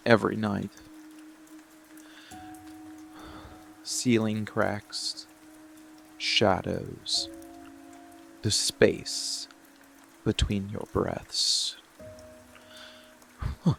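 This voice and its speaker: Man voicing a gravelly, very slow seductive monster. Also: on the low side, exaggerated voice. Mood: intimate, brooding. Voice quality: gravelly, creaky. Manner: slow, deliberate, brooding, with long pauses. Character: dark, seductive, protective male monster hiding in the bedroom. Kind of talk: slow, intimate roleplay ASMR. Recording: rain and music behind the voice. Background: steady rain and music.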